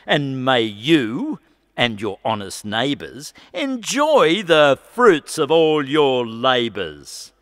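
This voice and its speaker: A man's voice: mid-range voice, huge pitch swings, slow, a bit bright, exaggerated voice. A man voicing a defiant convict poet who declaims earnestly and indignantly in a recited ballad. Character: Frank, defiant male convict poet, bold and plainspoken. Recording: long reverb hall.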